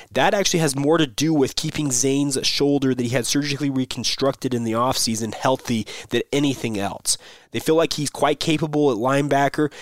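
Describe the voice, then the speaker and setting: A man's voice: medium pitch, dry recording. A man hosting a solo sports podcast, talking in a conversational way with fillers and restarts, his voice not fully back because he is under the weather. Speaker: podcast host.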